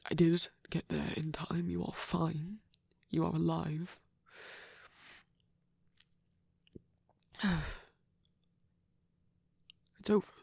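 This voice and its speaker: A performer voicing a proud, wounded villain who is secretly vulnerable, in a hesitant, breathy ASMR romance roleplay with frequent whispering. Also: medium pitch, steady tone, darkish tone, no echo, clean studio sound. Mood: relieved, fragile. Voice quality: breathy, husky, often whispering. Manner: hesitant, halting, sighing.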